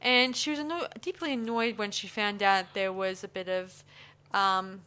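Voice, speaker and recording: A woman's voice: mid-range voice, fairly even intonation, moderate pace, bright, forceful tone, smooth. A woman hosting a film podcast, chatting casually and rambling with many restarts. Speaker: podcast host. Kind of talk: casual chat.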